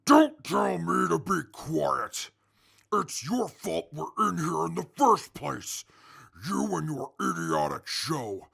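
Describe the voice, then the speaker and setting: An actor playing an irritable captive fighter in a post-apocalyptic audio drama, gruff, blunt and halting, with a raspy, gravelly voice. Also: male voice, fairly high pitch, very animated, fairly bright tone, heavily acted. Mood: angry.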